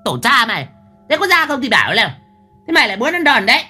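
A man's voice: sits high, sing-song, fairly quick, a bit bright, slightly rough, soft background music, voice pushed up, full character voice. A man voicing a fierce, cruel older woman who barks threats at her household. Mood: furious. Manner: scolding, barking threats. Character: fierce shrill older woman, bullying mistress.

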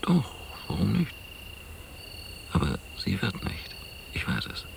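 An actor playing a hesitant, affectionate man in quiet, intimate radio drama dialogue, with a gravelly voice. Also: deep voice, huge pitch swings, slow, exaggerated voice. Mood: hesitant, reflective. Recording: noisy, hissy old cassette radio play.

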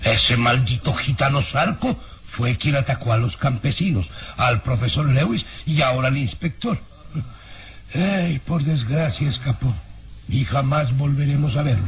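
A man's voice: mid-range voice, a little slow, close to an everyday voice. A man acting a haughty middle-aged baron in an old Mexican radio serial, with a pompous, insistent, formal manner. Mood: evasive, smug.

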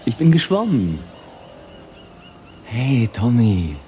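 A man's voice: low-pitched, expressive, a little slow, mellow, faint music behind. An actor playing a taunting drowned young man who appears in a nightmare in a radio drama. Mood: mocking.

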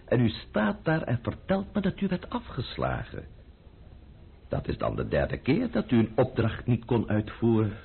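Casting: sneering, mock-polite revolutionary official for radio drama, hugely animated delivery, narrow vintage sound. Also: male voice, mid-range voice, creaky, a little hiss or hum. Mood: sarcastic reproach. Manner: sneering, mock-polite, drawn-out, bursting into shouts. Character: sarcastic, menacing French revolutionary official berating a subordinate. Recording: muffled old radio play recording.

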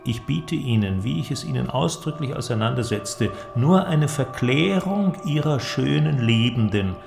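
An actor playing an eloquent, cynical inventor who argues in a declamatory, creaky voice in a literary audio drama. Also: male voice, mid-range voice, animated, normal speed, over music. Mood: persuasive, mocking. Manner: declamatory, argumentative, rhetorical. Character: male inventor, cynical, eloquent philosophical persuader.

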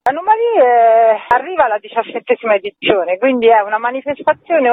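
A woman's voice: on the high side, no room sound. Radio guest: woman organizer on a narrow phone line, dry sound, keen and emphatic promotional talk. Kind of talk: promotional radio interview, semi-spontaneous presentation. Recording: phone-like, narrow-band radio interview audio.

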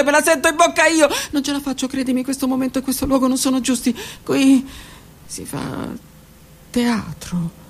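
A middle-aged woman, a stage actress, performing a dramatic monologue that swings from hushed whispers to raised declaiming. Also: sits high. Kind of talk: theatrical monologue. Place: radio studio.

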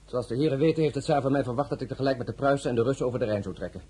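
A man's voice: mid-range voice, rapid-fire, warm tone, slightly rough, close to an everyday voice. A man playing a proud, troubled crown prince and army commander in a radio drama, formal in speech and full of brooding emotion. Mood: grave, defensive.

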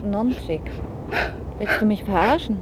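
A woman's voice: mid-range voice, measured pace, slight background noise, lightly acted, rough recording. A woman playing a brooding, prickly grown daughter who narrates her own story in a radio drama with dry, clipped delivery. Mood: wry. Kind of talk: first-person radio drama narration and acting.

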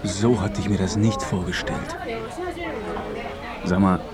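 An actor playing a frazzled, despairing and darkly furious trainee teacher in a radio play. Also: male voice, sits low, slow, over a noisy crowd.

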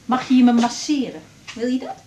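Young woman doctor played by an actress, highish voice, jealous and blunt, naturalistic radio drama, noticeable background noise. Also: moderate pace, warm tone, close to an everyday voice. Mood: casual, affectionate. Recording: noisy 1980s radio drama recording.

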